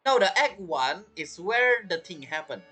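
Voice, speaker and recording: A man giving casual gameplay commentary as a streamer, in a halting, rambling, self-interrupting way. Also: sits high, sing-song, sharp tone, breathy edge, faint music behind. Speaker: game streamer.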